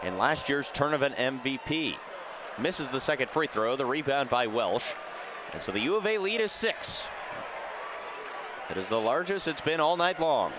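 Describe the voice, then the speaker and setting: A young American man calling live basketball play-by-play on radio with a projected, energetic delivery. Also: mid-range voice, normal speed. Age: young adult. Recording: noisy arena with crowd behind. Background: basketball crowd and bouncing balls.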